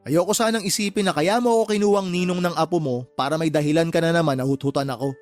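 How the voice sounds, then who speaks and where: An actor playing a resentful, blunt younger brother, scolding and sarcastic in a Tagalog radio drama. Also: male voice, fairly high pitch, steady tone, fast, faint music behind, close to an everyday voice. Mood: sarcastic, suspicious.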